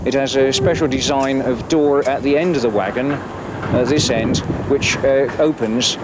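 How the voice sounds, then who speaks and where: Halting man guiding a rail tour. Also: medium pitch, fairly even intonation. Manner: halting, many pauses and fillers. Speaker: guide at a railway preservation site. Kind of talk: guided tour explanation. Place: outdoors at a railway yard. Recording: loud outdoor noise on old film. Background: engine and wind noise.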